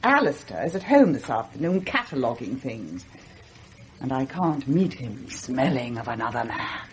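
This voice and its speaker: An actress playing a sensual, teasing upper-class daughter of the house in a radio drama, languid and imperious, with an English accent. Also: female voice, a bass voice, sing-song, moderate pace, slight background noise. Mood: brittle.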